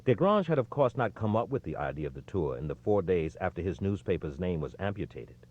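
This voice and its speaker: A man with a lowish voice narrates an audiobook in a scripted, storytelling read. His intonation swings widely, with emphatic phrasing. The audio is slightly dull and lo-fi. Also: very animated, fairly quick, darkish tone. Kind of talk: scripted narration, reading a history book aloud.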